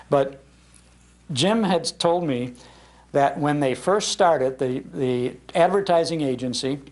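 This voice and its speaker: An older American man giving an informal, anecdotal public talk in an animated, conversational manner. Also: medium pitch. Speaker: speaker on old-time radio history, broadcaster. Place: public library talk before an audience.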